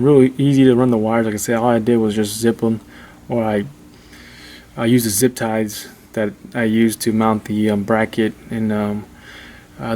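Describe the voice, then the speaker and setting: A man giving a casual, unscripted how-to in an informal, halting, repetitive way, like a hobbyist vlogger. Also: lowish voice, darkish tone, a little hiss or hum. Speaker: vlogger showing a DIY car install. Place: in and around a Jeep.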